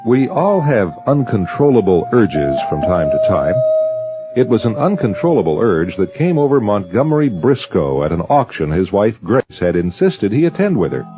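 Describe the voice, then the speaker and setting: A man hosting a radio suspense drama with measured, portentous scripted narration. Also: fairly low pitch, big pitch swings. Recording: dull radio recording with loud organ.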